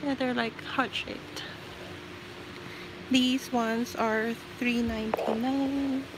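High-voiced chatty woman in a noisy shop. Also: steady tone, takes it slowly. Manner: chatty, giving shout-outs and reading out prices. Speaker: livestream shopping host. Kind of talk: casual live shopping chat. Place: inside a large retail store. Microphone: handheld phone while walking the aisles. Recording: noisy shop floor phone livestream.

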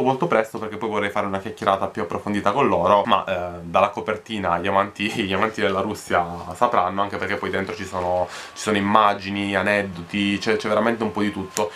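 A man's voice: fairly low pitch, fairly bright tone, slight background noise. A young man in his twenties casually showing and talking through his new books, hesitant with frequent fillers and restarts, filmed in a small bedroom with a camera at desk distance. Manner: chatty, many hesitations and repeated words. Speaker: book vlogger. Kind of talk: casual book haul vlog. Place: small bedroom in front of a wardrobe.

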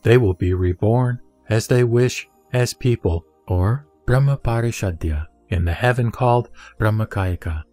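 Man solemnly reciting scripture over soft music. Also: lowish voice, no echo. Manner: measured, solemn recitation with careful pronunciation of Sanskrit terms. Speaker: sutra reciter.

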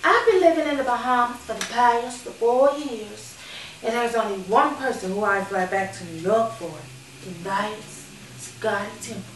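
A woman performing a stage monologue as a sassy, candid retired call girl who reminisces fondly with playful, dramatic pauses. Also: fairly high pitch, lightly acted. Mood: coy, confiding. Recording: echoey room, distant mic, background noise.

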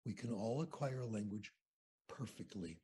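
Husky older American man telling stories. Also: lowish voice, a little slow. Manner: animated, anecdotal, conversational. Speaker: interview guest. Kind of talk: storytelling lecture on a video call. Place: home room with shelves. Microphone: video call webcam.

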